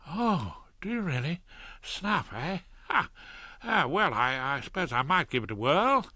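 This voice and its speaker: A man's voice: fairly high pitch, big pitch swings, unhurried, bright, forceful tone, no echo, pitched above the performer's own voice, full character voice. A man voicing a pompous, easily flustered middle-aged barrister, stammering and hemming.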